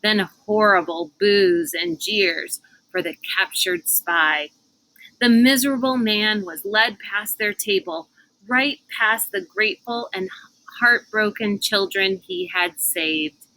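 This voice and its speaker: Woman, storybook narrator, slow pace, bright clear-cut tone, expressive, deliberate dramatic phrasing. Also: medium pitch, rough recording. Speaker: read-aloud narrator.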